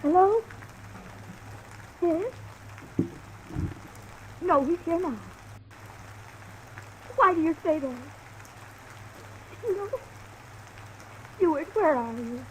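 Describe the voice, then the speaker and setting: A woman's voice: very high-pitched, expressive, very slow, pauses often, exaggerated voice, low-quality recording. A woman playing an anxious, devoted wife in an old-time radio drama, emotional and defensive. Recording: old radio recording with faint hiss.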